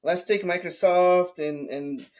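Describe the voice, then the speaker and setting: A man's voice: sits high, slow pace. A man with a Portuguese accent hosting a podcast interview, chatty and enthusiastic, with lots of fillers. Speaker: podcast host. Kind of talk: casual interview chat.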